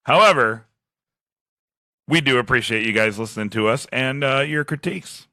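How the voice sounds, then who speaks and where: A man with a deep voice and a slight lisp, co-hosting a casual podcast, sarcastic and self-mocking, laughing often. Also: normal speed, bright, forceful tone, no room sound, clean studio sound. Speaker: podcast co-host. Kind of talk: casual chat.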